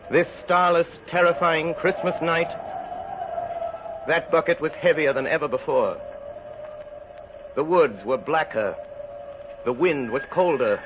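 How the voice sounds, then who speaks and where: A man's voice: medium pitch, close to an everyday voice. A man narrating an old radio drama as a grave, suspenseful storyteller, intense and theatrical. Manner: intense, theatrical, deliberate phrasing. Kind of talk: dramatic scripted radio narration, 1930s-40s. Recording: dull, noisy old radio recording.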